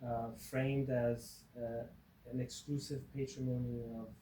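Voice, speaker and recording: A man's voice: medium pitch, flat delivery, a little slow, mellow, a little hiss or hum. Forty-year-old man, wry, echoey room. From Iran. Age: 40s. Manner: wry, joking, many fillers and restarts. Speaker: guest, professor. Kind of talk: interview conversation.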